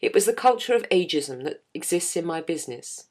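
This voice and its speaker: A middle-aged woman with a notably deep, low voice reads her own writing aloud. Her narration is scripted but playful, with dry, self-mocking humour running through it. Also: animated, a little fast, a little mellow. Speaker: jazz singer and podcast host.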